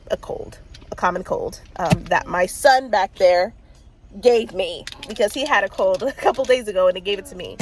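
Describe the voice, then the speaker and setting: A woman's voice: mid-range voice, poor audio. Woman, 30s, congested with a cold, casual vlog chat, chatty and rambling. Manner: chatty, rambling, many fillers and laughs. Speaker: book vlogger. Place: in a car.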